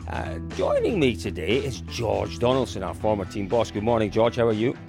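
A man's voice: on the low side, wildly swooping pitch, normal speed, vocal fry, over music. A Scottish man from Dundee with a genial, chatty manner, hosting a podcast. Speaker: podcast host. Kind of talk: casual chat.

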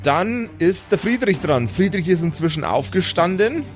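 A man's voice: sits high, lively intonation, normal speed. A man acting as game master, narrating an improvised tabletop role-play. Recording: music behind the voice. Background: background music.

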